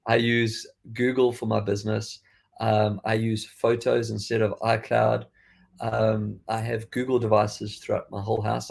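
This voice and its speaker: South african guy hosting a tech podcast, accent mostly worn off, gravelly and a bit husky, rambles and restarts a lot. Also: fairly low pitch, darkish tone. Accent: faded South African. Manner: chatty, rambling with frequent restarts. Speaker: podcast host. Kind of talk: casual tech chat.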